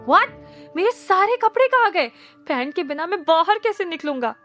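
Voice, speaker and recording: A woman voicing a harried adult male office boss who shouts in panic and drops English words into his speech. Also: high voice, normal speed, soft background music. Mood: panicked.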